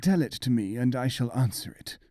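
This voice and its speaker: A man's voice: mid-range voice, expressive, fairly quick, warm tone, no room sound, clean studio sound, natural voice. A man voicing a boastful, confident monk in a storybook, with a pompous manner.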